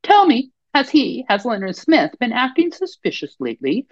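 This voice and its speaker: A woman's voice: a little high, wildly swooping pitch, normal speed, no echo, muffled recording, pitched above the performer's own voice. A woman voicing a poised, scheming French woman executive in her fifties who questions coldly and insistently, with a French accent. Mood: probing. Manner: cold, probing, insistent.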